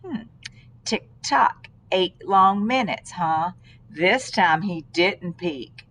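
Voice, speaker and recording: A woman reading a children's story aloud in an expressive way, with dramatic, deliberate phrasing. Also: on the low side. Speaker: storybook reader.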